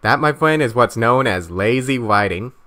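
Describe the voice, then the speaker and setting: A man with a middling pitch gives review commentary as a co-host. His phrasing is measured and a little wordy, conversational yet clearly prepared. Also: expressive, pushed and bright.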